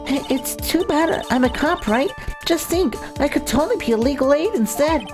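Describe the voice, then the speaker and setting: A woman's voice: sits high, expressive, normal speed. A woman voicing an earnest, scrappy young policewoman in a video game, emphatic and stumbling over words. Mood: helpful, eager. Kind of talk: voiced video game playthrough. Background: video game music.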